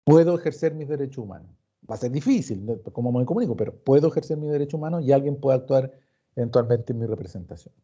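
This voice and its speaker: Chilean male professor, very dark voice. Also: mid-range voice, quick pace. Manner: didactic, emphatic repetition, acts out questions and answers. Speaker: law professor teaching an online class. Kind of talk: informal explanatory lecture with rhetorical questions. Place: recorded online meeting. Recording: dull, muffled online class recording.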